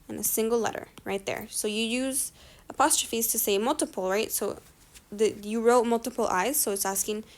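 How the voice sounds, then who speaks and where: A young woman teaching a grammar lesson over on-screen slides, explaining rules and often correcting herself as she goes. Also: a little high. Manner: talks through slides, frequent self-corrections. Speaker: tutor.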